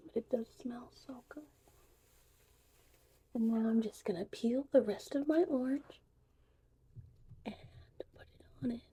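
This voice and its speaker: A woman whispering softly and breathily in an unhurried, chatty ASMR witch potion tutorial roleplay. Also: mid-range voice, breathy edge. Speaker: ASMR host.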